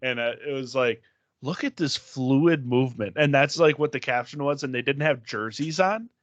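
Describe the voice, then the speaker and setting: Nasal male co-host, mid pitch, lively storyteller; dry, somewhat muffled recording. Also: normal speed, dry recording. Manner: animated storyteller, acts out quotes, laughs often. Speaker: podcast co-host. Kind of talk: casual podcast chat.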